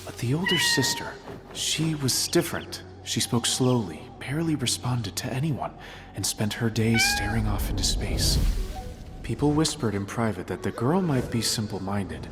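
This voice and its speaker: A man playing an earnest, thoughtful sect disciple who recounts old tales in a measured, dramatic way for a video game. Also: mid-range voice, huge pitch swings, over music. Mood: warm reminiscence. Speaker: non-player character giving backstory. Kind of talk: expressive storytelling in video game dialogue.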